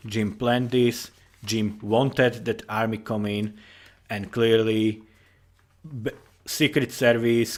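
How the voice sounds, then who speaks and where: A tired man giving informal, rambling commentary as a writer on his own story, halting and correcting himself, with casual swearing. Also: on the low side.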